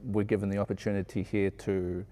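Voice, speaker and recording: A man with a New Zealand accent reflecting in an interview in an earnest, measured way. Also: on the low side, little pitch movement, warm tone. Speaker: sound engineer being interviewed.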